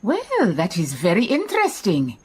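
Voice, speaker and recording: An actress playing a wise, composed lady detective in a radio drama, with a measured, inquisitive manner. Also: lowish voice, huge pitch swings, a little mellow, slight background noise. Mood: curious.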